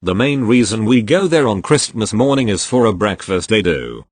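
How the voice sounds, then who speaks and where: Low-voiced man reading posts aloud. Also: very animated, normal speed, dry recording. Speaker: narrator reading online posts. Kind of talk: scripted narration.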